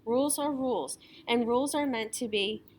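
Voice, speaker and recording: A woman's voice: a little high, normal speed, a little mellow, slight background noise, voice pushed up, lightly acted. A woman voicing a smug, rule-obsessed young boy in a storybook, emphatic and exclaiming. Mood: bossy.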